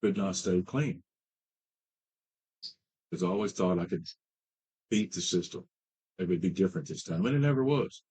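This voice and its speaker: Man, fifties, very deep voice, husky, halting, many fillers, recovery client. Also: a little mellow. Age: 50s. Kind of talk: personal recovery story. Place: treatment center.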